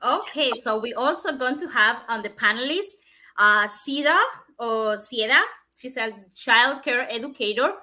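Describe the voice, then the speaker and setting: A woman's voice: a little high, measured pace, bright, forceful tone, a little hiss or hum. A woman from Cuba co-hosting an online live show, cheerful and laughing easily. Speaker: co-host.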